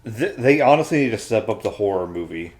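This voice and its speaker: A man with a fairly low voice chats as a podcast co-host. He sounds opinionated and matter-of-fact, keeping everything conversational and informal. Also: lively intonation, a little fast, darkish tone. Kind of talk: casual chat.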